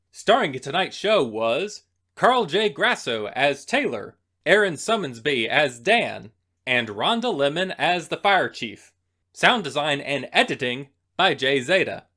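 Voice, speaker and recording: A man's voice: a little high, bright, forceful tone. A man reading scripted announcements as host of a radio play festival, in a showy, emphatic way.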